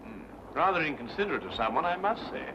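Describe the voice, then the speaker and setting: An actor playing a polite, slightly bumbling gentleman in an old-time radio drama, mild and courteous in manner. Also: fairly high pitch, very animated, fast, background noise. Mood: mildly bemused. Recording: dull old radio recording with music.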